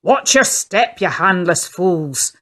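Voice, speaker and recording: A woman voicing a grumpy old male sailor who barks and shouts scoldings in a storybook reading. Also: on the low side, sing-song, slow, heavily acted. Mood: furious barking.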